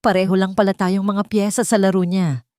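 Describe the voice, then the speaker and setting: A woman voicing a wealthy young fiancée, gentle and sad, speaking softly and calmly in an audio love story. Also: medium pitch, brisk, dry recording, studio quality, pitched above the performer's own voice, close to an everyday voice. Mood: resigned. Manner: soft, calm, sorrowful.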